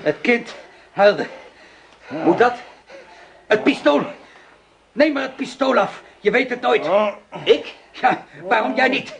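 An actor playing a vengeful farmer in a radio play, shouting orders and gloating over a captured man. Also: very high voice, lively intonation, takes it slowly, gravelly, pauses often, background noise, exaggerated voice.

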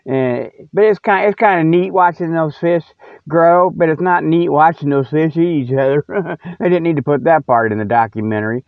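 A man's voice: a little high, moderate pace, no echo. Folksy rambling man on a phone-like line. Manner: folksy, colloquial, jokey, meandering. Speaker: host of a humorous mock news show. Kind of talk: rambling comic mock newscast, casual ad-libbed chat. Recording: narrow phone-like sound, dry.

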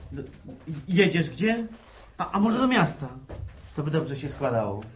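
A man's voice: fairly high pitch, sing-song, a little slow. An actor playing a shaken, pleading village man in a radio drama, with faint noise in the recording. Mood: agitated, pleading.

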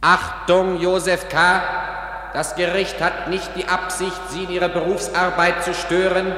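A stern, formal court official in a radio drama. The voice is high for a man and slow, with clipped authority, ringing through a long reverberant hall. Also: level tone, sharp tone. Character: stern male court official giving summons by telephone.

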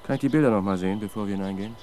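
A man plays an observant police sidekick in a seventies German radio crime drama. His lowish voice is musing and matter-of-fact, with faint outdoor noise behind it. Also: racing, darkish tone, natural voice. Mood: curious, musing.

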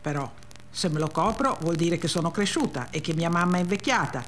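An actress reading a memoir as a blunt, resentful female narrator, with deadpan, childlike run-on phrasing. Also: lowish voice, fairly quick, slight background noise, close to an everyday voice. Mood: sullen, defiant. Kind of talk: dramatic reading of a first-person memoir.

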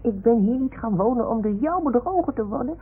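A woman playing a small woolly toy dog in an old radio play, excitable and confiding, with childlike, theatrical earnestness. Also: on the high side, brisk, slightly rough. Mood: dramatic lament. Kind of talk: 1960s-70s radio play acting. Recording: tinny, noisy old radio recording.

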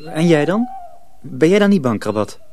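A naive teenage apprentice in a radio play. His fairly high voice jumps around in pitch. He sounds anxious and questioning, over faint noise. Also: very animated, moderate pace, darkish tone. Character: naive, anxious boy of nearly seventeen, mill apprentice. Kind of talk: radio drama acting.

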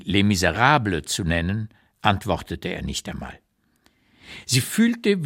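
Low male voice, very lively intonation, literary memoir narration, articulate, expressive. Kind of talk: literary reading, first-person memoir narration for radio.

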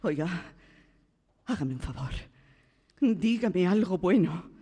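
An actress with a Castilian Spanish accent playing an anguished, desperate mother in a radio drama, breathy and husky. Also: on the low side, expressive, takes it slowly, dark tone, heavily acted. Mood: distressed. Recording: reverberant radio drama with music behind.

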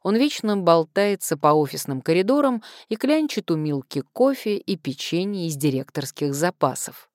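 Woman reading a novel in first person, deep-ish voice, expressive, very dry recording. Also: normal speed, dry recording. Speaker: audiobook narrator.

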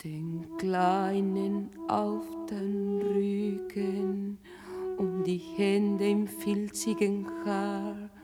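A woman narrating a literary radio play, phrasing each line deliberately with pauses. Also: medium pitch, little pitch movement. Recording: long reverb, music behind.

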